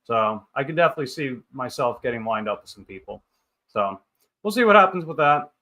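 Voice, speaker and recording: A man of thirty hosts a livestream in a mid-pitched, bright voice. He talks casually and rambles in upbeat banter, speaking straight to his viewers. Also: expressive, brisk. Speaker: livestream host. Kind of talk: casual livestream chat, reading and answering viewer comments.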